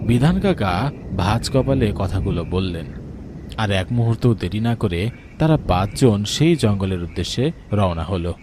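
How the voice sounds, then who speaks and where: Low, animated male storyteller. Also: moderate pace, noisy background. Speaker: narrator. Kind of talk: scripted dramatic horror story narration.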